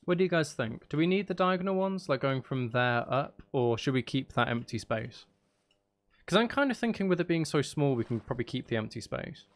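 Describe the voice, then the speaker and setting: A man's voice: medium pitch, lively intonation, a little fast. A man chatting casually on a gaming livestream, thinking aloud as he builds and bantering playfully with viewers, with some mild swearing. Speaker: livestream host.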